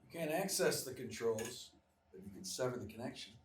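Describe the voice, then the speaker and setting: An actor in a live script reading playing a shifty, distrustful scientist with a deliberate, guarded delivery. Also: male voice, medium pitch, lively intonation, natural voice. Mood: guarded, conspiring. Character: shifty male scientist, quietly conspiring and distrustful. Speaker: actor in a live screenplay table read. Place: small live theatre stage. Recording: distant, echoey live theatre recording with noise.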